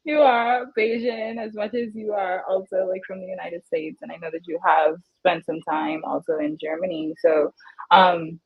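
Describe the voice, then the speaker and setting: A woman's voice: fairly low pitch, steady tone, normal speed, gravelly, slightly rough, narrow, tinny audio. A woman moderating a casual panel conversation over a video call, hesitant and full of fillers. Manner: hesitant, filler-heavy phrasing.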